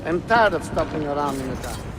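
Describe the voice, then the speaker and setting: An actor playing an irritable, impatient soldier in a game cutscene, complaining in exasperation. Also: male voice, a little high, animated, fairly quick, heavy background noise, natural voice. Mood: exasperated. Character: irritable, impatient male soldier guarding a dig. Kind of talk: dramatic video game cutscene acting. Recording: clear music behind the voice.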